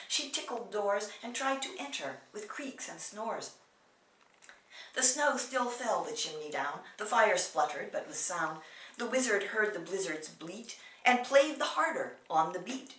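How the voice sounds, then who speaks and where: A woman in her sixties giving a dramatic poetry reading, theatrical, moving between emphatic bursts and hushed delivery. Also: medium pitch, slight background noise. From California, USA. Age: 60s. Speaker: poet reading own work. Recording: roomy echo, distant microphone.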